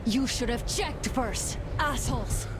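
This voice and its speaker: A woman's voice: fairly high pitch, slow, background noise. An actress voicing a tough, defiant young woman, a commander's daughter, in a video game cutscene. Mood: feisty. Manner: sharp, angry, defiant. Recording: loud music under the voice.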